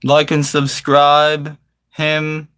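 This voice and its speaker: A man's voice: a little high, monotone, extremely slow, a bit bright, clean tone. A man chatting casually to livestream viewers in an affected British-style accent, with mock-formal, flowery wording and a theatrical manner.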